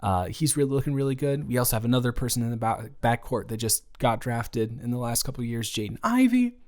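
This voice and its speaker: A man's voice: medium pitch, expressive, fast, darkish tone, natural voice. Hesitant, caring young male roommate played by a man. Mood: casual rambling. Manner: hesitant, rambling, stammering, reassuring. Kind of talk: improvised intimate roleplay audio, casual and conversational.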